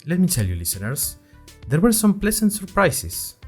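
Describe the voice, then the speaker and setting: A man's voice: sits high, wildly swooping pitch, normal speed, slightly rough, faint music behind, full character voice. A man playing a chatty, nervous young college student who narrates his story in a wry, conversational way with dramatic emphasis. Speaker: narrator of an audio fiction podcast. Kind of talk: scripted first-person storytelling, diary-like.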